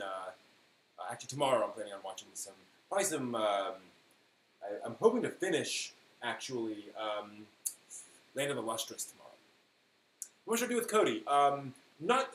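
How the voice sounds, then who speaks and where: A man hosting a casual live stream, replying to viewers in a halting way that often trails off mid-thought. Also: mid-range voice. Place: indoor room at home with printer running. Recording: roomy echo, faint buzzing 3D printer noise. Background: faint buzz of a 3D printer.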